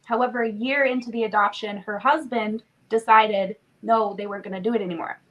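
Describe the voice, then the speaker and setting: A woman's voice: medium pitch, steady tone, brisk, a bit bright, muffled recording. A woman, sniffly from a cold, telling her story earnestly as a guest on a live video interview. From Canada. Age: 20s. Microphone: video call.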